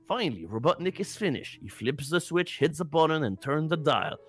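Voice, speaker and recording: A man reading fan fiction aloud on a stream in comic narration, with animated delivery and casual asides. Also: on the high side, fairly quick, soft background music.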